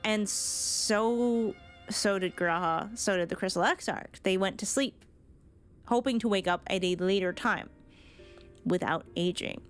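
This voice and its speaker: A woman's voice: mid-range voice, measured pace. A woman game streamer giving casual reaction commentary on cutscenes, thinking aloud as she goes. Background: game music.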